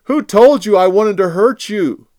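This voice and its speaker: A man's voice: high-pitched, unhurried, darkish tone, no room sound, pitched above the performer's own voice. A man voicing a young male warrior who calls out earnestly and pleads with his king. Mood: pleading.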